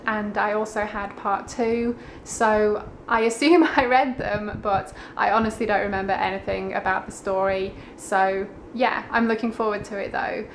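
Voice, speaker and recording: Female book vlogger, casual and conversational, easygoing delivery with little pitch movement. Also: a little hiss or hum. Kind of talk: casual chatty vlog about books.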